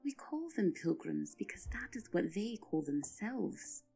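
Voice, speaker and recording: A woman voicing a smug griffin courtier in an audio roleplay, breathy, with gushing formality and false courtesy. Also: mid-range voice, very animated, unhurried, warm tone, soft background music. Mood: smug, sweet. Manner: gushing, formal, theatrical politeness.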